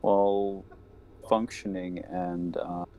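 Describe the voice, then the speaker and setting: Lowish offhand man player. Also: flat delivery, extremely slow, warm tone. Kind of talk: casual game chat.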